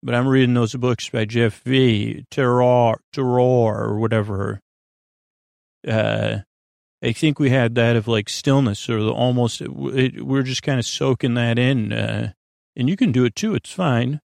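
A man with a gravelly, creaky voice talks close and dry into the microphone as a podcast host. He wanders through a sleepy bedtime monologue, doubling back and leaving thoughts half finished. His delivery is gently reassuring. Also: mid-range voice, fairly even intonation, no echo. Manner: meandering, halting, often trailing off and doubling back. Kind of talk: rambling bedtime monologue meant to help listeners sleep.

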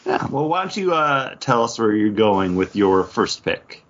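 Male podcast host, fairly low voice, informal conversational delivery, slightly muffled lo-fi sound. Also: sing-song, a little slow, fairly bright tone. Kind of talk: casual chat about comic books. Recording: dull, lo-fi podcast audio.

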